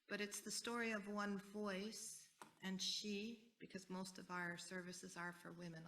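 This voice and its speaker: An American woman speaking as a panelist at a conference, earnest and deliberate, repeating key phrases for weight. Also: lowish voice, creaky, a bit bright, recorded from a distance, slight background noise. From United States. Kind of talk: panel talk.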